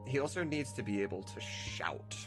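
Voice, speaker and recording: A man's voice: medium pitch, lively intonation, moderate pace, music in the background. A man making a game mod, chatting casually and giving easygoing direction. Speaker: mod creator. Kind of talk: casual chat on a call.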